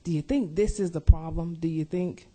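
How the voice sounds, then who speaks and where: A woman's voice: sits low, moderate pace, dark tone. A woman committee member asking a question, restating it over and over to get at her point. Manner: probing, rephrases her question repeatedly.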